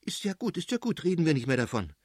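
A man's voice: fairly high pitch, very animated, moderate pace, no room sound, clean studio sound, voice pushed up. A man voicing an aggrieved aristocratic wife and mother in an audiobook, plaintive and defensive in manner. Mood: placating. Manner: plaintive, protesting. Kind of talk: dramatised audiobook reading.

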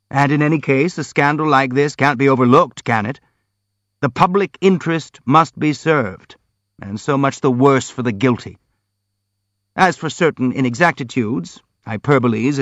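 A man's voice: medium pitch, fairly bright tone, no room sound, pitched above the performer's own voice. Man acting a pompous, eager boxer, slightly muffled. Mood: self-justifying. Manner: wordy, self-justifying, grandly emphatic. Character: pompous, eager boxer justifying his own scandalous article. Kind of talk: audiobook character acting.

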